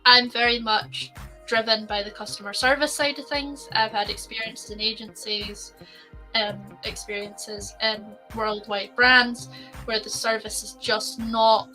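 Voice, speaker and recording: A Scottish woman co-hosting a casual business podcast, chatty and quick to laugh. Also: on the high side, flat delivery, pushed and bright, faint music behind, low-quality recording. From Scotland. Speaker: co-host, designer.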